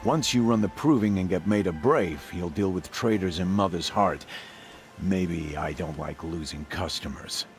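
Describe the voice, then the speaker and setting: A man's voice: low voice, moderate pace, soft background music, lightly acted. A man playing a cranky, wry village trader in a video game, with a sardonic, grumbling, offhand manner. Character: cranky, wry male village trader dealing illicitly with outcasts. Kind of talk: video game cutscene acting.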